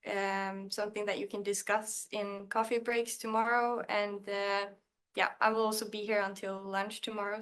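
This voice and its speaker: A young woman from Norway with a Norwegian accent, giving a conference talk in her second language, hesitant with many pauses and restarts. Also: medium pitch, a bit bright. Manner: hesitant, many fillers and restarts, self-deprecating. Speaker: conference speaker. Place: conference hall.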